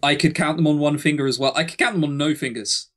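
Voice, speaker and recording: A man's voice: a little high, steady tone, fast talker, a bit bright. A British man co-hosting a podcast in casual chat, blunt, sarcastic and prone to swearing. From Britain. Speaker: podcast co-host.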